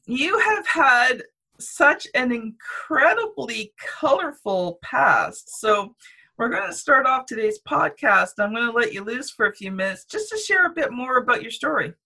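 A woman from Canada hosting a podcast, introducing her guest with unhurried enthusiasm. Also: on the high side, very animated, vocal fry, bright, forceful tone, slightly rough. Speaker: podcast host. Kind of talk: interview hosting.

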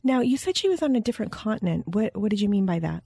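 A woman hosting an interview in a casual, chatty and expressive way, with an informal storytelling style. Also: medium pitch, quick pace, vocal fry, slightly rough, no echo, studio quality. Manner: informal, expressive, acts out quoted dialogue. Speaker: interview host. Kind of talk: casual chat, animated anecdote telling.

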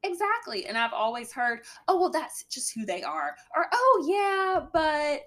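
A young adult woman with a slightly deep voice, a guest talking candidly with a dry sense of humor. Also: expressive, unhurried. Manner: candid, dry humor, mimics a bright work voice. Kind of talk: casual chat.

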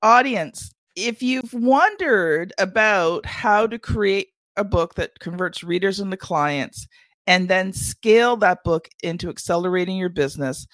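A woman's voice: lowish voice, animated, unhurried, fairly bright tone, no echo. A woman hosting an interview podcast, emphatic and upbeat as she steers the talk. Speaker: podcast host.

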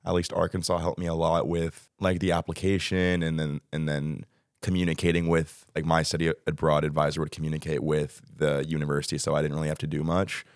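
A man in his twenties with a Texan American accent, talking casually as a guest about student life, relaxed and rambling with lots of "like" and "um". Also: extremely low pitch, a little fast, dry recording, studio quality. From United States. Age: 20s. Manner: relaxed, rambling with many likes and ums. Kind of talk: casual interview chat.

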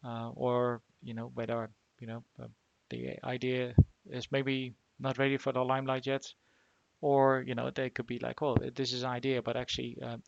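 A man hosting a casual remote interview, talking haltingly with lots of fillers and restarts. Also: medium pitch, completely flat delivery, gravelly, dull audio, a little hiss or hum. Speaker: podcast host.

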